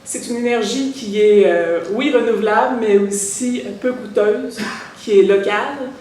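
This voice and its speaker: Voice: mid-range voice, darkish tone, far from the mic, a little hiss or hum. A speaker delivers prepared remarks at a press conference, hesitating now and then and correcting a slip. The voice sits well back from the microphone. The room is strongly echoey, with faint ambience underneath. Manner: reads prepared points, hesitant. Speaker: biomass group representative at a press conference. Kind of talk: formal press statement.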